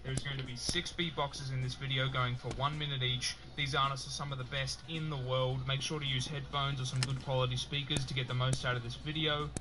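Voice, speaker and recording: A man reading a scripted introduction as the host of a beatbox video, with an even, announcer-like delivery. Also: medium pitch, a little fast, few pauses, rough recording.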